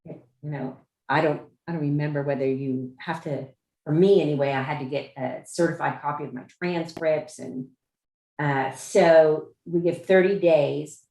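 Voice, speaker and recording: A woman with a low voice leads a conference call from a roomy, echoing space. She explains procedure informally and haltingly, going back to rephrase as she goes. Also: fairly even intonation, a little mellow. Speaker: chair of a judicial nominating commission meeting. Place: indoor room on a Zoom conference call. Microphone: video call microphone.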